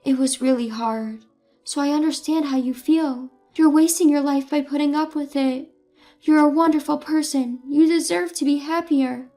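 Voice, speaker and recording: A kind older neighbor woman, voiced by a female reader, soft and comforting in a storybook narration. Her voice sits a bit high and gentle. Quiet music plays underneath. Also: fairly even intonation, moderate pace.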